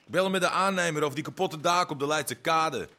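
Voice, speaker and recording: Edgy criminal accomplice, bright voice. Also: male voice, a little high, brisk. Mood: nervous, defensive. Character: shifty, nervous male criminal accomplice, defensive. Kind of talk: naturalistic radio drama acting.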